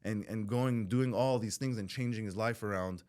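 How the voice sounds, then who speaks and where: A man with a very low, deep voice talks frankly on a podcast, swearing without hesitation. His sentences wander and restart as he works through his thoughts. He is from Southern California. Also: moderate pace, a little mellow. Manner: blunt, swears freely, rambling with restarts. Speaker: podcast guest in recovery. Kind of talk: candid podcast conversation.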